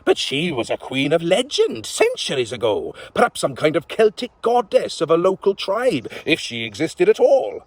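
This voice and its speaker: A man's voice: fairly high pitch, huge pitch swings, no room sound, pitched above the performer's own voice. A man voicing a sweet old witch woman, crowing and laughing as she talks. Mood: amused. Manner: crowing, cackling laughs, drawn-out speech.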